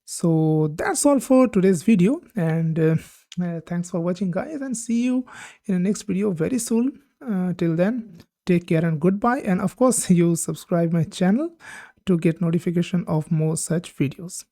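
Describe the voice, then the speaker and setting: A woman hosting a tech channel, talking through a software demo on screen, with many "uh" pauses and simple phrasing. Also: fairly low pitch, lively intonation, no echo. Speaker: tech tutorial host. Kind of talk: screen-recorded software walkthrough.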